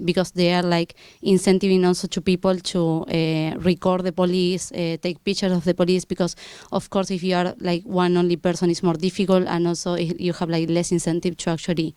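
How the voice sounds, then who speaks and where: Woman from Argentina, audience comment; lowish and clear, searching for words. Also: level tone, normal speed, darkish tone, no room sound. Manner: searching for words, many fillers. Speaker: audience member. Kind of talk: spontaneous comment.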